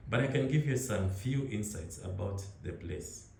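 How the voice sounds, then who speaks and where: A young man with a Kenyan accent talks in a relaxed, rambling way, often restarting his words. His voice sits low and dark. The room has a strong echo. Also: normal speed, vocal fry, slight background noise. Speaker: interviewee. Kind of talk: casual conversation.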